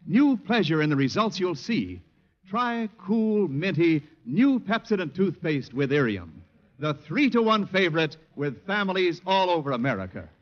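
Male 1940s radio pitchman, high voice with animated delivery, muffled vintage recording. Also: measured pace. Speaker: commercial announcer.

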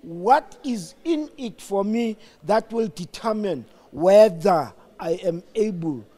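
Slow, high-voiced older South African man. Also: expressive, mellow. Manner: deliberate, halting, emphatic. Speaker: interview guest. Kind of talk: reflective political discussion.

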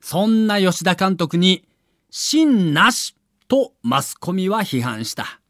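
A male narrator delivers a scripted documentary with dramatic emphasis. His pitch is high and very animated, the pace is slow, and he tells it like a storyteller. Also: no echo, clean studio sound.

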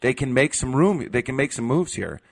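A man's voice: medium pitch, very animated, brisk, gravelly, slightly rough. An American man talking casually as a sports panelist, thinking aloud and hedging his opinions in a loose, joking way.

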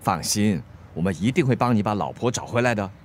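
A man's voice: fairly low pitch, animated, a little fast, a little hiss or hum, close to an everyday voice. A man with a Singaporean accent playing a practical, reassuring friend in a radio drama, mixing in English words. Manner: colloquial, sprinkles English words into speech. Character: level-headed, reassuring male friend, practical peacemaker.

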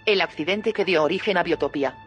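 A woman's voice: on the low side, fast, faint music behind, close to an everyday voice. Earnest, cautious woman researcher played by an actress. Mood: earnest, insistent. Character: earnest, cautious female researcher reporting unsettling findings. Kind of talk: audio drama dialogue.

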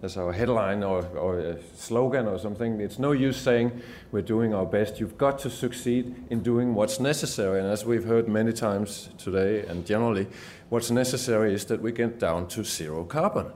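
A man's voice: on the low side, normal speed, a little mellow, a little hiss or hum. A man with a Danish accent giving an earnest conference speech as a journalist, often restarting and repeating words. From Denmark. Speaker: journalist giving a summit talk.

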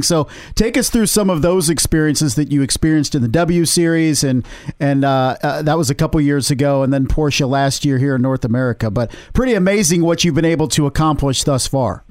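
Man, podcast host, fairly high voice, dry close sound, loose rambling questions. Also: no room sound. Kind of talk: casual interview chat.

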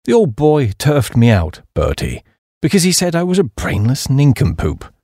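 A man voicing a hapless, dim young upper-class English gentleman who blusters and rambles in slang. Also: mid-range voice, huge pitch swings, moderate pace, husky, no room sound, clean studio sound. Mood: gloomy, rambling.

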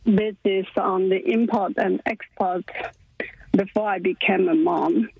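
A woman's voice: medium pitch, fairly even intonation, measured pace, creaky, slightly rough. A woman from China, a native Chinese speaker, talking hesitantly in careful English as a radio interview guest. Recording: dull lo-fi line.

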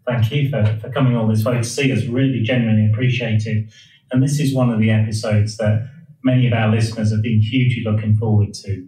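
A man's voice: fairly low pitch, steady tone, fast, mellow. A man hosting a podcast, reading a scripted intro and then asking interview questions in a polite, welcoming way. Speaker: podcast host. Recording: roomy, distant mic with faint music.

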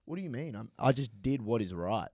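A young man, co-hosting a podcast and telling crude, animated stories full of swearing. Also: medium pitch, fairly quick, slightly rough, no room sound, clean studio sound. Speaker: podcast co-host. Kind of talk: casual chat.

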